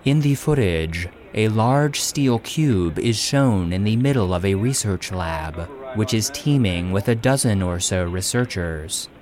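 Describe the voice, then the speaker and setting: A man playing an archive database that reads incident logs in an audio drama, formal, measured and impassive. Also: lowish voice, expressive, a little mellow. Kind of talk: scripted narration in an audio drama.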